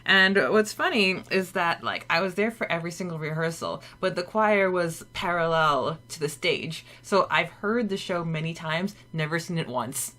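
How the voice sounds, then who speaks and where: A woman's voice: on the low side, normal speed, few pauses, sharp tone. A woman hosting a podcast, chatting with fillers and then reading a summary steadily. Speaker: podcast co-host. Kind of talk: casual chat and read chapter summary.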